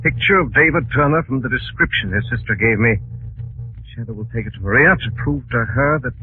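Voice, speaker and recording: Suave male sleuth for an actor: fast, decisive, medium-pitched, vintage radio drama on a narrow-band recording. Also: natural voice. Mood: urgent, suave. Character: urgent, decisive gentleman sleuth, suave with his companion. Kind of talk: old American radio drama acting. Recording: tinny old radio recording, faint music.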